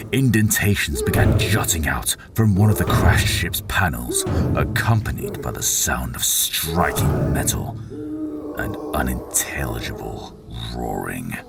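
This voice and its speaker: A man's voice: very deep voice, gravelly. A man narrating a fiction audiobook in a dramatic, scripted storytelling style. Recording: voice over prominent background music.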